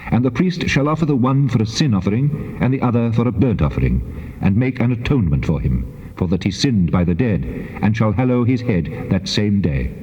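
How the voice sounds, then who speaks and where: Guy acting as god in a bible drama, huge reverb, dull old tape, solemn measured commands. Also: fairly low pitch, expressive, mellow, lightly acted. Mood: solemn, instructive. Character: solemn, commanding male God pronouncing sacred law. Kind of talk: dramatized scripture recitation.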